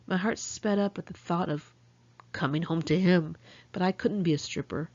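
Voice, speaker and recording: A woman's voice: on the low side, normal speed, darkish tone, lo-fi sound. An American woman narrating her own memoir in a candid, conversational, salty-tongued way. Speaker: author reading own memoir. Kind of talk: first-person audiobook narration.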